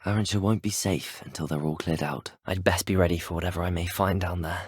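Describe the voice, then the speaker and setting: A man's voice: sits low, steady tone, a little fast, slightly rough, dry recording, clean studio sound. A young man with a British accent playing a polite, earnest, inquisitive teenage student wizard in a video game, courteous and well-spoken. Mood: grave, wary. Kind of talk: scripted video game dialogue voice lines.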